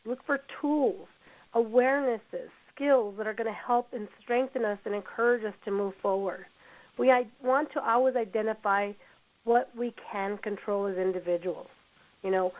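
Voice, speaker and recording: A woman with a hoarse voice from a cold, presenting a workplace training webinar in an encouraging manner, often saying "you know". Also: a little high. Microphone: computer mic on a webinar call. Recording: dull lo-fi web audio.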